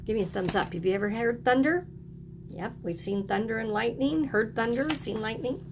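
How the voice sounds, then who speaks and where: A woman's voice: on the high side, normal speed, noisy background. A woman teaching young children, encouraging them in short, simple phrases as she reads aloud and explains. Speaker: early elementary teacher.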